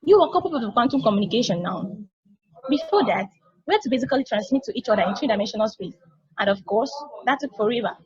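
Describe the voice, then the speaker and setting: A woman's voice: on the high side, lively intonation, fast talker, muffled recording, natural voice. A woman playing a knowing, teasing little-sister space probe in an amateur table read, casual and explanatory. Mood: brisk, wry.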